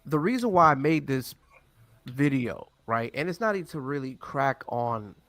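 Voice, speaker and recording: A man's voice: mid-range voice, expressive, normal speed, fairly bright tone, no echo, studio quality. A man in a casual discussion, agreeing and building on what others just said. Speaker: guest.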